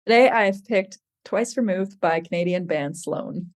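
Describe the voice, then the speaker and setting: A woman's voice: on the low side, moderate pace. A woman musician guesting on a podcast, chatting casually with plenty of "like" and easy laughs. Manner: chatty, lots of fillers like "like", laughs.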